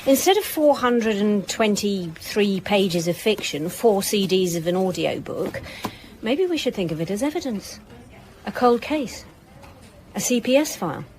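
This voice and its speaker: A woman playing a senior police inspector in a radio drama, brisk and sceptical, with a dry, authoritative way of talking. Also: mid-range voice, animated, darkish tone, a little hiss or hum, natural voice. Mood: dry, thoughtful. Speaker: actor in an audio drama. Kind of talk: naturalistic radio drama dialogue.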